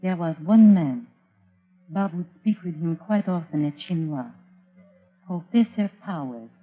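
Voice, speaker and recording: Mysterious French café woman, earnest, measured, vintage radio play, narrow tinny sound. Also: fairly low pitch, expressive. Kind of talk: 1940s radio acting. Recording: tinny old radio recording, faint music.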